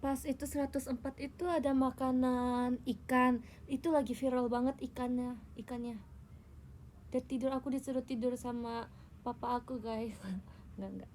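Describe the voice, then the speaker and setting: A woman's voice: high voice, level tone, moderate pace, darkish tone, slight background noise. Playful young woman chatting on a livestream. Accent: Indonesian. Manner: playful, teasing, tells tall tales. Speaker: idol livestreamer. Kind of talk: casual livestream chat to viewers.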